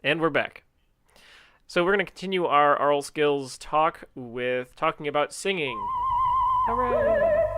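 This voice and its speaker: A man's voice: a little high, huge pitch swings, measured pace. A man co-hosting a podcast in casual chat about music teaching, playful and jokey. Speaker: podcast co-host.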